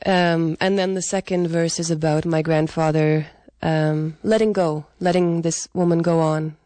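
A woman's voice: low voice, steady tone, slow pace, warm tone, dry recording. A woman telling personal stories reflectively in an interview, as a musician. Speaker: singer and pianist being interviewed. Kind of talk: unscripted interview storytelling.

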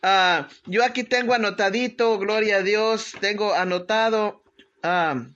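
A woman's voice: medium pitch, measured pace, muffled recording. A woman pastor with a strong voice, leading an informal devotional talk with prayers, greetings and conversational asides. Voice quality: strong, forceful voice. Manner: conversational, hesitant searching for words, punctuated with amens and tag questions. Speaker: pastor hosting a live online prayer broadcast.

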